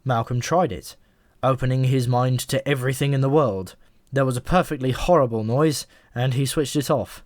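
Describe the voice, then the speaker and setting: A man's voice: medium pitch, normal speed, no room sound, studio quality. A man reading scripted narration from a comic fantasy novel as an audiobook narrator.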